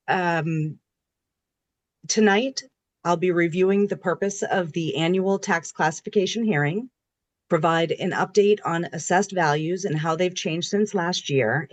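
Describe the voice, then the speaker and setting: Hoarse woman presenting at a meeting. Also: fairly low pitch, no room sound. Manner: methodical, explanatory, reading from slides. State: hoarse from a cold. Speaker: town assessor presenting to the board. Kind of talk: formal public meeting presentation.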